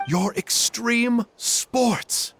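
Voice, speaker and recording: A man's voice: very high voice, very slow, fairly bright tone, a little hiss or hum, full character voice. A man playing a chatty, theatrical video game uncle who cajoles his young listeners with exaggerated storytelling. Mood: boastful, chatty. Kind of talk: animated video game voice acting. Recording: music behind the voice.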